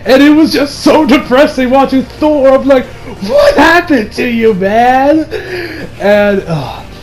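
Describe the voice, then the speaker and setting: A young adult man giving a casual, rambling movie review with excitable delivery and frequent swearing. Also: very high voice, slow pace, faint music behind, poor audio. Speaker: video reviewer. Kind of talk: casual movie review chat over gameplay.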